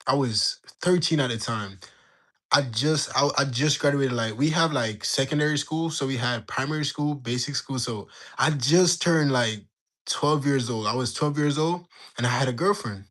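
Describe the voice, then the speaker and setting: A young man in his late teens from Jamaica, telling a story in a slangy, animated way while bantering with his livestream chat. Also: mid-range voice, moderate pace. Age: young adult. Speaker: livestream host. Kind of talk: casual storytelling to live chat.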